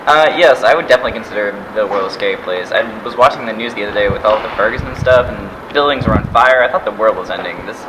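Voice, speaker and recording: A man's voice: fairly low pitch, fast talker, few pauses. An eighteen-year-old man freshman answering interview questions confidently and emphatically. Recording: background noise.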